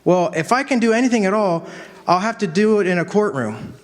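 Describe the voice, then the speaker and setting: Man playing an animated, high-voiced lawyer. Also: a little fast. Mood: earnest, urgent. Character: earnest, quick-witted male defence lawyer. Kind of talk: stage radio drama acting. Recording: echoey hall, long reverb.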